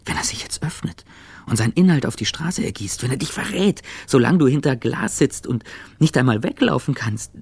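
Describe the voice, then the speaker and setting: An actor playing an anxious, self-accusing traveller in a radio drama, speaking an intimate, brooding inner monologue. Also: male voice, medium pitch, big pitch swings, a little fast, gravelly, a little mellow, slightly rough, dry recording, heavily acted. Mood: uneasy, agitated. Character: anxious, self-accusing male business traveller, inwardly narrating.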